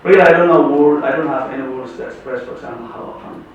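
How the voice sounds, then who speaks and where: An older man from Somalia with Somali as his first language, giving an emotional award acceptance speech in halting English full of pauses and fillers. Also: medium pitch, phone-quality sound. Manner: halting, many pauses and fillers, loud bursts. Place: large conference hall. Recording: echoey hall from far off, noisy.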